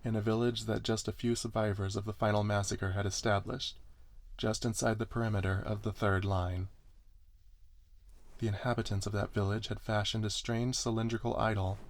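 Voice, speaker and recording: Man, low pitch, gravelly fry, ASMR story reading, scripted first-person narration. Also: level tone, moderate pace, no room sound.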